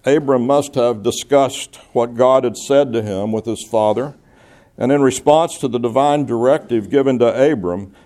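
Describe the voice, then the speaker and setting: A man preaching in a church service, reading Bible passages and explaining them in a measured, teacherly way as he reasons aloud. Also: mid-range voice, warm tone.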